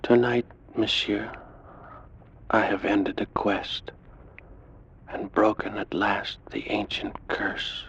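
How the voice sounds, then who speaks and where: An actor playing an ancient Egyptian priest, lovelorn and menacing, speaking slowly and solemnly in an old-time radio drama. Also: male voice, lowish voice, steady tone, a bit bright, breathy edge, full character voice. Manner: slow, solemn, murmuring delivery. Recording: muffled old radio recording with music.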